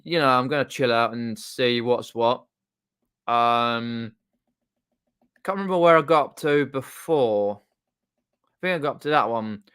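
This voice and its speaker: A man chatting casually on a livestream in a rambling, halting way, often trailing off mid-sentence. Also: mid-range voice, fairly bright tone, clear, resonant tone. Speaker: live streamer and video channel host. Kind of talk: casual unscripted livestream chat. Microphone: desktop condenser microphone.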